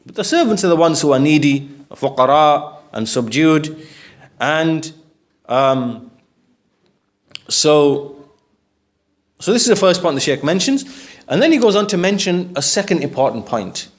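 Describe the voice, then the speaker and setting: A man's voice: a little high, very animated, measured pace, pauses often. A man teaching in an explanatory religious lecture, speaking didactically and checking that listeners follow with questions.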